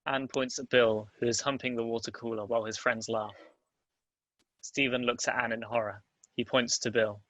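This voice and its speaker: A man reads out script directions as narrator. His voice is mid-pitched, bright and forward, recorded dry with almost no room sound. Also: flat delivery, normal speed, no echo, muffled recording. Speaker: narrator reading stage directions. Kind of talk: scripted narration at table read.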